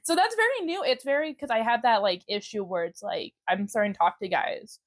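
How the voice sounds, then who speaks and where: A woman's voice: on the high side, fairly quick, no room sound. A young woman in her twenties hosting a podcast, talking candidly and laughing often in casual chat. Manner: chatty, giggly, candid. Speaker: podcast host.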